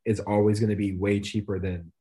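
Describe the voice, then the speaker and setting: A young man co-hosting a podcast in a loose, rambling chat, full of fillers and quick laughs. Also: on the low side, flat delivery, mellow. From United States. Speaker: podcast co-host. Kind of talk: casual chat.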